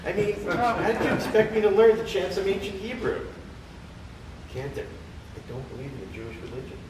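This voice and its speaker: A man's voice: high voice, very animated, fairly quick, a crowd in the background. A man acting on stage as a conflicted, exasperated younger man, emphatic and questioning. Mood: defensive, exasperated. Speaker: stage actor in a staged play reading. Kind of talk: live theater comedy acting. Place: theater or temple hall, far microphone. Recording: echoey hall from far off, noisy.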